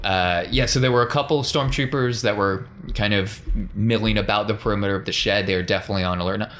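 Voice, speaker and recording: A man's voice: on the low side, fast, a bit bright. A man working as game master, narrating and refereeing a tabletop game in a casual, encouraging way.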